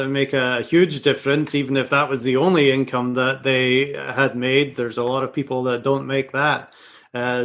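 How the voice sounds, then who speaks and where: A man's voice: mid-range voice, a bit bright. A man with a Scottish accent hosting an interview show in an easygoing, casual way, with the odd filler. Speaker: podcast host.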